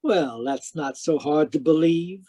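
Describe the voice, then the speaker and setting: A man playing a wisecracking private detective who narrates deadpan in 1940s radio drama style. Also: on the high side, a little slow, no echo. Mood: patient, dry. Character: wry wisecracking male private detective narrating his case. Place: live video-call performance, voice close and dry.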